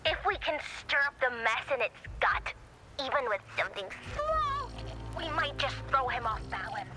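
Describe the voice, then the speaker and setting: A woman's voice: sits high, wildly swooping pitch, normal speed, bright, forceful tone, faint music behind, heavily acted, poor audio. A woman voicing a chatty, analytical young female tech ally in a video game. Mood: excited analysis.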